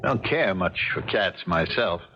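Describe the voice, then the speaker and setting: An American actor playing a gruff, impatient, ordinary man in a 1970s radio drama, speaking in a blunt, slangy, offhand way. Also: low voice, expressive, unhurried, noisy background. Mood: casual, offhand. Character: gruff, impatient, ordinary suburban guy, privately dismissive. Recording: dull vintage radio recording with music.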